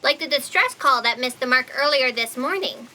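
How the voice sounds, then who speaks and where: A woman playing an eager, anxious fact checker in an audio drama comedy, excitable and dramatic. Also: high-pitched, normal speed, heavily acted. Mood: worried, dramatic.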